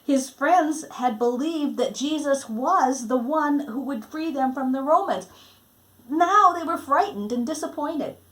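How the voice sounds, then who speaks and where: A woman reading a Christmas storybook aloud in an expressive, dramatic way, letting phrase endings fall hushed. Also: high-pitched, a little slow, low-quality recording. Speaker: storybook reader.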